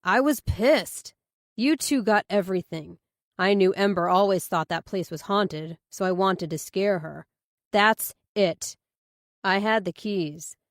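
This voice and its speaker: A woman's voice: medium pitch, a little slow, dry recording, clean studio sound, natural voice. A woman voicing an angry, bitter, threatening man in an audiobook, curt and defiant. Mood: angry, menacing. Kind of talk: audiobook character dialogue.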